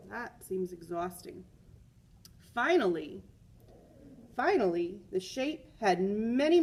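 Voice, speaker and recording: Give a woman's voice, slightly high and somewhat husky, reading a picture book to students. She is expressive and leans on key words, dropping in chatty teacher's asides between passages. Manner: expressive, with emphatic repeated words.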